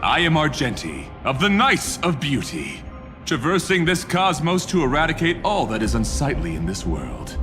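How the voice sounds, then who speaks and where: A gallant game knight, declaims with florid chivalry. His fairly high voice swings widely in pitch, ringing in a long hall reverb over music. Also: wildly swooping pitch, moderate pace. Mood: reverent, proud. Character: gallant, grandiose knight devoted to beauty. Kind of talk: dramatic video game voice acting.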